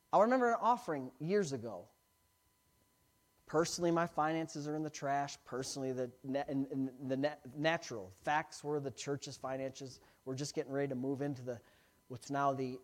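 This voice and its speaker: A man in his forties delivers an animated sermon as a pastor. He exhorts his listeners emphatically, moves between scripture and plain explanation, and keeps prompting them for agreement. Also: on the high side. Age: 40s. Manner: emphatic, exhorting, with repeated call-and-response checks. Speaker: pastor preaching to a congregation. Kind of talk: animated sermon with scripture readings and rhetorical questions.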